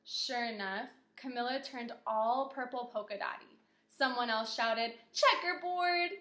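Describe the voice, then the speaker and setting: Kindergarten teacher lady reading aloud, encouraging, bright highish voice, echoey room. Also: measured pace. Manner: expressive, questioning, encouraging. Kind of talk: read-aloud storytelling with teaching asides.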